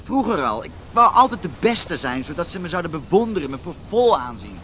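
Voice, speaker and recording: A man's voice: fairly high pitch, very animated, fairly quick, a bit bright, a little hiss or hum. An actor playing a disillusioned, self-pitying married man in his thirties in a naturalistic radio drama. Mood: bitter, confessional.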